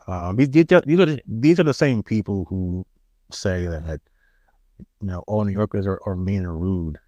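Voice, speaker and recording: Man, New York accent, podcast co-host, low-pitched, quiet halting delivery, dry close sound. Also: no echo. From Queens, New York. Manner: soft-spoken, halting, self-deprecating. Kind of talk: casual chat.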